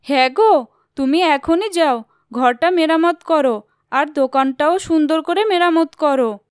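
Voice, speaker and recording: A woman's voice: high-pitched, unhurried, voice pushed up. A woman voicing a grateful, caring village wife and mother in a cartoon. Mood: eager. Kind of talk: cartoon character dialogue.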